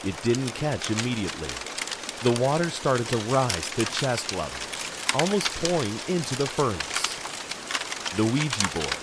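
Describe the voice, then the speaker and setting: A man's voice: mid-range voice, sing-song, a little slow, very noisy. A man reading dramatic scripted narration for a horror audio drama.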